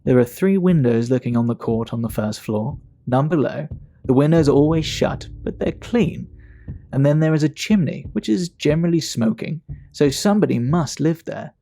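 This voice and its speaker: A man playing a worldly, talkative Victorian English gentleman in an audio drama, speaking in a measured, gossipy, fastidious way. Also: fairly high pitch, animated, gravelly. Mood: measured, confiding.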